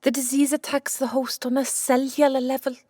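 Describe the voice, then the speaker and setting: Woman acting coolly determined scientist, higher-pitched. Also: little pitch movement, brisk, a little mellow, dry recording, clean studio sound. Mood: clinical, analytical. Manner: matter-of-fact, explanatory, firm. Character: Santa's brisk, clinical scientist wife, immortal, coolly determined. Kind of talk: audiobook drama acting.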